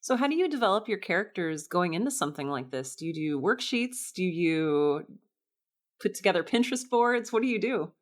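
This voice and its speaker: Slightly low-voiced woman hosting an interview. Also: sing-song, brisk. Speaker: podcast host and interviewer. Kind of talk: casual conversational interviewing.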